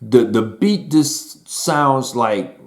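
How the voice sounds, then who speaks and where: A man's voice: medium pitch, lively intonation, very slow. A man giving a casual spoken music review in a laid-back, slangy way, peppering his talk with "man" and "um". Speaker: album reviewer.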